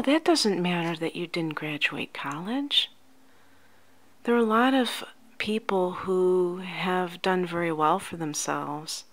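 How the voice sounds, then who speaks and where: Slow, soft-spoken ASMR woman. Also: fairly low pitch. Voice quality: soft, often near-whispered. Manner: gentle, unhurried, soothing, addressing the listener directly. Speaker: ASMR host playing a life coach.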